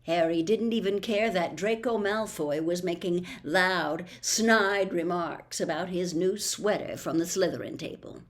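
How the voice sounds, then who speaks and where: A woman reading a children's novel aloud as an expressive storyteller. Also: lowish voice, a little slow, few pauses. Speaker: audiobook reader.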